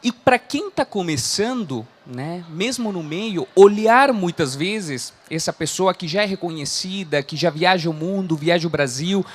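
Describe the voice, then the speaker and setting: Give me an earnest Chilean man in his thirties with a high voice, coaching a live audience. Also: animated, measured pace, few pauses. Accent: Chilean Spanish. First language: Spanish. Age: 30s. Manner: earnest, frequent "né?" tags. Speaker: coach and speaker. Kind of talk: motivational talk to a live audience.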